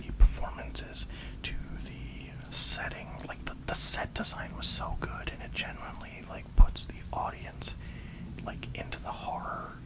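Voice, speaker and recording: A person whispering an informal ASMR review, rambling along hesitantly with frequent asides. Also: poor audio. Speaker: ASMR video creator reviewing a film.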